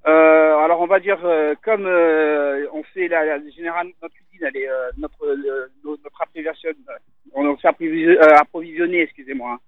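A man from Italy, a restaurant owner, talking casually on the phone in an interview, relaxed and hesitant with many fillers. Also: on the high side, fairly quick, no echo. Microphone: telephone. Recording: tinny phone line.

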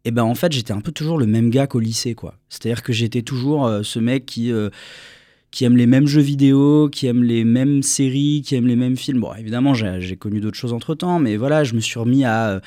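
A young man from France, a singer answering interview questions in a relaxed, rambling way with many fillers. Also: mid-range voice, fairly quick, no echo, studio quality. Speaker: singer guest.

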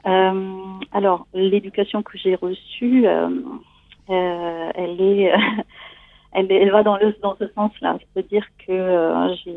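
Woman, 40s, Italian accent. Hesitant, personal, conversational guest, mid-range pitch, very dry sound. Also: vocal fry, no room sound, phone-quality sound. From Italy. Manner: hesitant, searching for words. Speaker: guest seeking coaching.

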